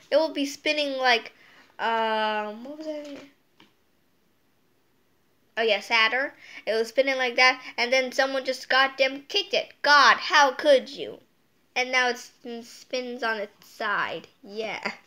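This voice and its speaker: A woman hosting a read-aloud storytime, reading a picture book in a halting way with playful, chatty asides. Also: a little high, pushed and bright. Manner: halting reading, stumbling over words; playful, exclaiming.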